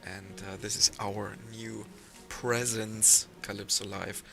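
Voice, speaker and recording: Non-native male stream host, slow and halting, gravelly tone, game music behind. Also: on the low side, flat delivery. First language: not English. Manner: hesitant, many fillers, reads out viewer comments. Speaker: stream host reading chat. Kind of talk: casual livestream chat.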